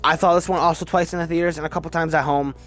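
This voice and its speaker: A man giving casual, opinionated commentary as a video host, emphatic and halting, often restarting his sentences. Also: fairly high pitch, fairly even intonation, a bit bright, soft background music. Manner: chatty, halting, restarts sentences mid-word. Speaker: movie review video host. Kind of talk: casual ranked-list movie commentary.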